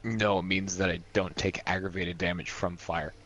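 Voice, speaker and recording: A man's voice: low voice, little pitch movement, moderate pace, a bit bright, lo-fi sound. A man speaking casually as a player in a tabletop role-playing game, describing his moves.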